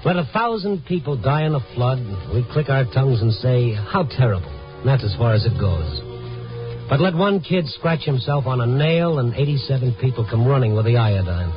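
A male actor plays a tough but compassionate American reporter in a vintage radio drama. He is world-weary and plain-spoken, at a middling pitch. The recording is dull and aged, with faint music. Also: big pitch swings, normal speed, close to an everyday voice. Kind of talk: 1950s radio drama acting, first-person narration and dialogue.

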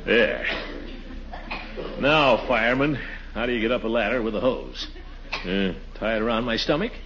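An actor playing a pompous, excitable middle-aged householder who mutters and exclaims theatrically in a 1940s radio comedy. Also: male voice, on the low side, normal speed, dull audio. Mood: self-absorbed muttering. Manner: muttering to himself, theatrical exclamations, chuckling bluster. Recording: noisy, band-limited 1940s radio recording.

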